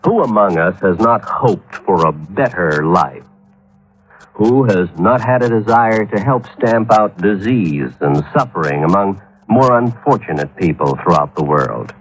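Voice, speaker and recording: A male announcer reading a scripted public service announcement in an earnest, measured way. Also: low-pitched, lively intonation, rough recording.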